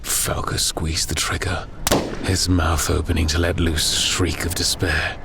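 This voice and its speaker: A man narrating a dark war horror audiobook in a grave, solemn, dramatic scripted style. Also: low-pitched, level tone, unhurried, creaky, background noise.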